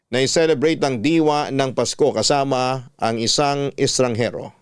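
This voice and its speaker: A man's voice: mid-range voice, a little mellow, clean tone, no echo. A man with a Filipino accent narrating a scripted personal story as a storyteller on a close studio mic. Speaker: narrator reading a listener's letter.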